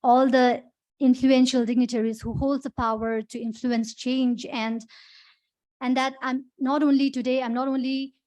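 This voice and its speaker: Young female activist, Nepali accent, slightly high pitch, earnest formal address. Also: little pitch movement, normal speed, a little mellow, dry recording. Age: young adult. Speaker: activist and human rights lawyer. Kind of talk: prepared public speech. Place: conference podium.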